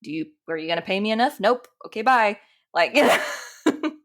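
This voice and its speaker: A woman's voice: mid-range voice, lively intonation, normal speed. A woman chatting casually as an interview guest, informal and self-deprecating in the way she talks. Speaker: podcast guest, animator and dungeon master.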